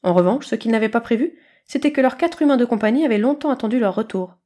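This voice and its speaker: A woman reading scripted fiction aloud as an expressive storyteller, at a medium pitch. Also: fast talker. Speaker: narrator, podfic reader.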